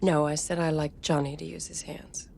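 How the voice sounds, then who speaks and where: A low, creaky, seductive suspect. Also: female voice, flat delivery, moderate pace, a little mellow. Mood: seductive, unruffled. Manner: unruffled, teasing, defiant. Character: cool, seductive woman novelist, provocative murder suspect. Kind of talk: film thriller acting. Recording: faintly noisy film soundtrack.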